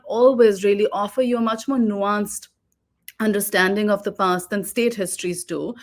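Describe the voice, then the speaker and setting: Thoughtful Pakistani woman author. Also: mid-range voice. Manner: thoughtful, speaks in long winding sentences. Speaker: guest author and oral historian. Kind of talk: long reflective interview answer.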